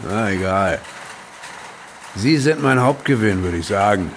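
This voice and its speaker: An actor playing a jovial, teasing village shopkeeper, chatty and familiar, in naturalistic German radio drama dialogue. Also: male voice, on the low side, lively intonation, a little slow, slight background noise.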